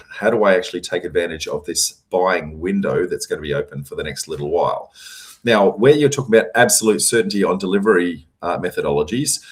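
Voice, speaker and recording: A hoarse man answers audience questions, his voice worn as it starts to give out. He talks in a deep, low register. He leans hard on his points, doubling words for stress between frequent ums and uhs. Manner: emphatic, repeats words for stress, frequent fillers. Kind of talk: live Q&A session, casual advice.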